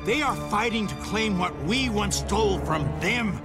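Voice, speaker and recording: An actor playing a defiant military commander in a game cutscene, intense and declamatory. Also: male voice, high-pitched, lively intonation, normal speed. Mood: bitter. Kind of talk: video game cutscene acting. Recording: loud music over the voice.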